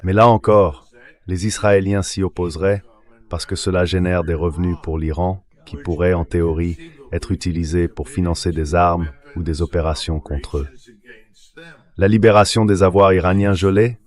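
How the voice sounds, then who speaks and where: This is a synthetic man's voice with a low pitch. It delivers a guest commentator's answers as a translated interview voice-over, reading political analysis. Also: steady tone, normal speed.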